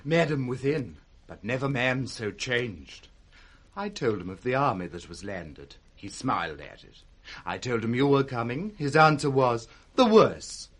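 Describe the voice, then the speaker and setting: An actor playing an officious, gossiping steward with a British accent, in an animated 1960s radio Shakespeare style. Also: male voice, mid-range voice, unhurried. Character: officious, gossiping steward reporting to his mistress.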